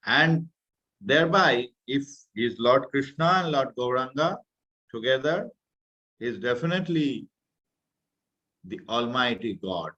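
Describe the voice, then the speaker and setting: A man's voice: medium pitch, a bit bright. Earnest Indian man, slightly muffled audio. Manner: earnest, halting, searching for words. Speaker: guest speaker. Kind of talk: religious talk to youth class.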